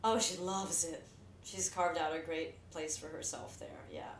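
A woman's voice: low voice, wildly swooping pitch, unhurried. An American woman from New Jersey talking candidly and reflectively about herself in casual conversation. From New Jersey, United States. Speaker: filmmaker. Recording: roomy echo, distant mic, faint noise.

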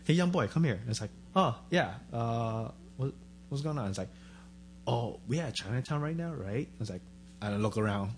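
Joking man in a relaxed chat. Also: moderate pace, a little hiss or hum. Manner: relaxed and joking, drops his voice low in asides. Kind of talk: casual chat.

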